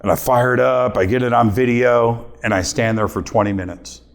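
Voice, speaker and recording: A middle-aged man speaks to a live audience in a voice of ordinary middle pitch. He tells his story with animation and acts out the lines he quotes. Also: fairly even intonation, a little fast, gravelly. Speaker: radio host giving a talk.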